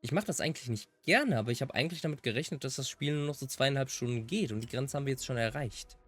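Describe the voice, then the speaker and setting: A man's voice: medium pitch, racing, a bit bright, slight background noise. A man who is a native German speaker, casually commenting on a game as a let's player.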